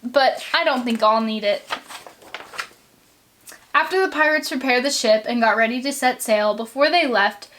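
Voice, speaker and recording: A woman reading a children's storybook aloud with expressive narration. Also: fairly high pitch, normal speed, long pauses, slight background noise.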